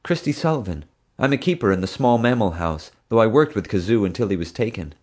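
A man voicing an earnest young woman zookeeper, raising his voice high for her in an audiobook reading. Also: lively intonation, brisk, a little mellow. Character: young woman zoo keeper, earnest and eager.

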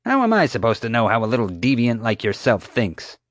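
A man's voice: mid-range voice, huge pitch swings, fairly quick, no echo, studio quality, voice pushed up. A man voicing a rude, pompous, dim-witted woman security officer in an audiobook, with an officious, accusatory way of talking. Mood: scornful. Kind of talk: audiobook character voice.

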